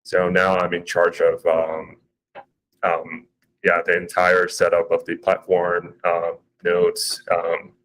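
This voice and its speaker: A man's voice: low voice, completely flat delivery, slow, fairly bright tone, low-quality recording. A man from Malaysia introducing himself with a hesitant, modest manner and many fillers. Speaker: CTO. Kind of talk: casual chat.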